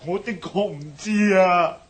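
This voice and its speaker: An actor playing a timid gang underling in a radio drama, speaking with a Hong Kong Cantonese accent and a pleading, apologetic manner. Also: male voice, high-pitched, a little slow.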